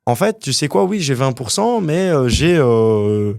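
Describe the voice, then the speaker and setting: Young man, gravelly voice, dry close sound, lively storyteller. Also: medium pitch, dry recording. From Canada. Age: young adult. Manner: animated, fast storytelling with many fillers. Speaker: guest entrepreneur. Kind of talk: casual interview storytelling.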